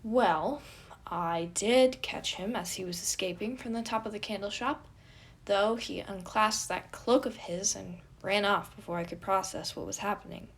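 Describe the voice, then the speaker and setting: A woman's voice: mid-range voice, moderate pace, slightly rough. A woman voicing a bold, theatrical teenage prince with a grandiose, exclamatory way of talking in a storybook reading. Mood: frustrated. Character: bold theatrical young prince, boastful and dramatic.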